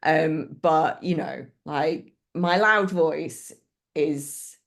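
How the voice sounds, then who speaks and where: A woman with a fairly low voice chats as a podcast guest. She is enthusiastic and loud, laughing often and filling pauses with "um" and "like" as she tells her stories. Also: gravelly. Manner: giggly, chatty, many fillers. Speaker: band member guest. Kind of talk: casual interview chat.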